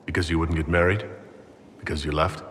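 An actor playing a composed, authoritative leader in a fantasy game, measured and serious in his delivery. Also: a bass voice, fairly even intonation, fairly bright tone, big-room sound, a little hiss or hum. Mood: calm, probing. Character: composed, authoritative male leader of a holy army. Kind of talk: dramatic fantasy game dialogue.

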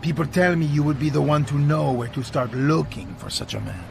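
An actor playing a tough, laconic mercenary agent in a video game, with a gravelly, hard-boiled delivery. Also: male voice, a little high, a little fast, background noise. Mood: cool, terse. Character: tough, terse male mercenary agent in his thirties. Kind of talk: video game cutscene acting.

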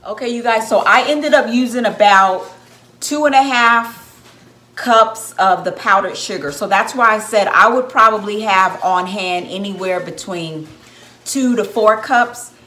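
A woman's voice: mid-range voice, a little slow, pushed and bright, reverberant. A woman in her thirties chatting to viewers as she shows her cooking steps in a home kitchen, with the camera set on the counter. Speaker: home baker, channel host. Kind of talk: casual cooking demonstration. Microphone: camera on the counter, at arm's length.

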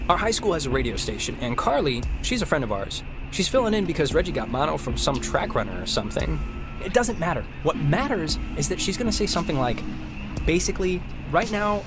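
A goofy American teenage boy in a video game. His voice sits high and swings around in a lively way as he rambles on, flippant and irreverent, in casual dialogue. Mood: upbeat, eager.

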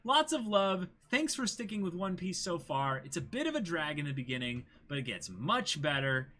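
A man in his twenties with a bright, forceful voice and lively, swinging intonation. He co-hosts a livestream, moving between casual chat and reading out comments with animated delivery. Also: a little high, normal speed. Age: 20s. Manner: animated, exclaiming thanks to viewers. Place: small indoor room with a backdrop.

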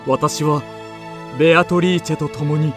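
An actor playing an earnest poet-pilgrim who recounts his journey deliberately as first-person radio drama narration. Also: a little high, steady tone, soft background music, natural voice. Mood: earnest narrating.

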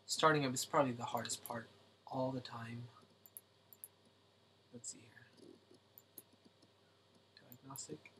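A man hosting a casual late-night drawing livestream at home, his voice a little raspy and kept low so as not to wake anyone. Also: fairly even intonation, slow, pauses often, a little hiss or hum. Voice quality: speaks softly to keep voice down. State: slightly raspy from singing.

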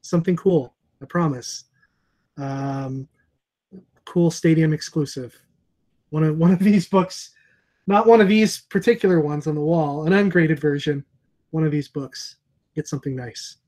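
A man in his forties hosting a casual video call chat, relaxed and chatty with many fillers, talking through earphones in a room lined with comic books. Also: a little high, a little slow, long pauses. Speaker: comic shop owner and host. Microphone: earphone headset on video call.

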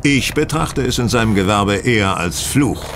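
An actor playing a self-assured, wry gentleman detective in a radio play, explaining in a measured, teasing way. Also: lowish voice, expressive, background noise. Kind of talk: German radio play acting.

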